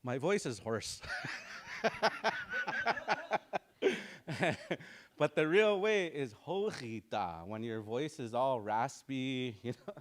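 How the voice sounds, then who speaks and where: A man teaching an online language class, drilling words over and over in a relaxed, informal way and chuckling often. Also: medium pitch, drawn-out words, pauses often.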